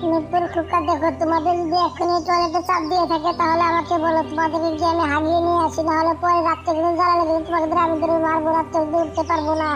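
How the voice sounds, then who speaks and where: A woman's voice: very high-pitched, little pitch movement, very fast, few pauses, lo-fi sound. A woman voicing fussy, scolding female birds in a cartoon story, complaining as she goes. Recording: music behind the voice.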